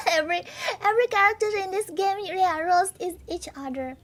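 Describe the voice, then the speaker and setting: A woman's voice: very high voice, lively intonation, normal speed, a bit bright, soft background music. A young woman with an Indonesian accent, a native Indonesian speaker, chatting casually and giggling often on a live stream as she reads out her viewers' messages.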